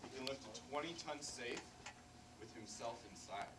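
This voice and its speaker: A man's voice: medium pitch, moderate pace. An American man from small-town Illinois, speaking informally to an audience as a musician. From small-town Illinois, United States. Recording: distant mic, very noisy.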